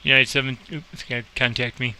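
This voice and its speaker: A man's voice: mid-range voice, fairly even intonation, brisk, sharp tone, smooth, rough recording. A man giving a casual, rambling game tutorial, thinking aloud as he explains and making air traffic controller calls.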